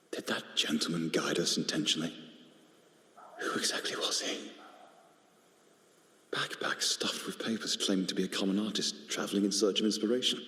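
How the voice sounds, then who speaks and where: A man's voice: deep voice, bright, forceful tone. A man voicing an earnest, idealistic poet turned rebel in a video game story, in a solemn, brooding monologue. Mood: pondering. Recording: echoing hall reverb under music.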